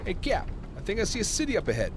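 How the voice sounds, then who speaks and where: Chatty, jittery bomber co-pilot acted by a man, radio play, high voice, very noisy cockpit sound. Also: huge pitch swings, rapid-fire. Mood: alarmed. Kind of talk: amateur radio drama acting. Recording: very loud engine-like noise behind.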